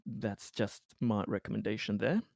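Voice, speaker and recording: A man playing a tabletop roleplaying game, improvising an advisory report in a hesitant, halting manner. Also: on the low side, fairly even intonation, vocal fry, a little mellow, no echo, studio quality.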